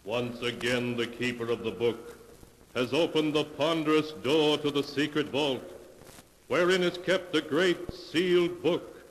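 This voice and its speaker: A man's voice: fairly high pitch, a little slow. A man narrating an old-time radio mystery show in a solemn, ominous, dramatic manner. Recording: reverberant old radio with faint noise.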